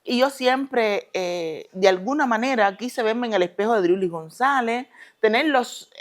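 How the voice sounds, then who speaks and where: A woman with a Cuban accent speaks in a relaxed interview, measured and full of pauses and fillers. When a topic stirs her, she speeds up and presses her words with conviction. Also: on the high side. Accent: Cuban Spanish. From Cuba. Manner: deliberate, hesitant with many fillers, then emphatic and quick when passionate. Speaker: guest, retired Olympic judoka. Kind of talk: relaxed conversational interview answers.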